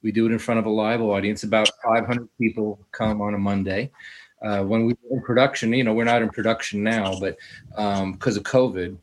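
A man's voice: fairly low pitch, steady tone, a little fast, slight background noise, rough recording. A man, a musician guest on a casual talk show, talking about his radio show in a rambling, proud way over a video call. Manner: rambling, proud, self-deprecating.

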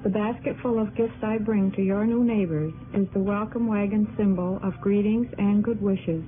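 A woman's voice: medium pitch, moderate pace. Casting: female 1960s radio ad hostess, mid register, scripted read, slightly tinny sound. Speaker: community hostess in advertisement.

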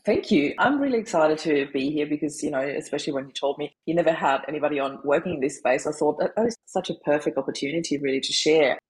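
A woman in her forties with an Austrian accent, a grief coach telling her life story as a podcast guest, animated and laughing often. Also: deep voice, quick pace, few pauses, breathy edge, rough recording. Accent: Austrian German. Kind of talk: conversational interview storytelling.

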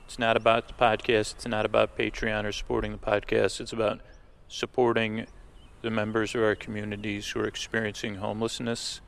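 A man with a creaky voice hosting a sleep podcast, giving low-key, rambling thanks and announcements to listeners. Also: completely flat delivery, fairly quick, a bit bright. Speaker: podcast host.

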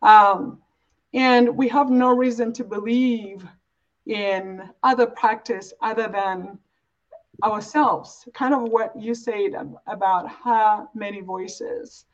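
Woman, medium pitch, reflective interview guest, emphatic repeated phrasing, dull low-fidelity sound. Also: steady tone, takes it slowly. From East Africa, born and raised in Uganda. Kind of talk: reflective, earnest interview talk. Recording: dull, lo-fi audio.

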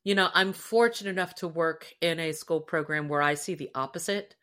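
A woman's voice: deep voice, fairly quick, fairly bright tone. A woman co-hosting a podcast, chatting casually and expressively about a story in an earnest way. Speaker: podcast co-host.

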